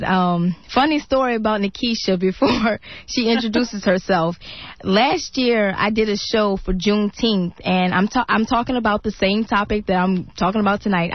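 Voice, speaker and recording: A woman's voice: medium pitch, no room sound. A woman hosts a radio talk show in a chatty, friendly way and laughs easily. The studio sound is dry and slightly dull. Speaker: radio host.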